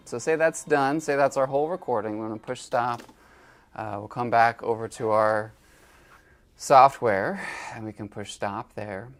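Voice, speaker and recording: Low-voiced man giving a casual tutorial. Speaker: instructor demonstrating library equipment.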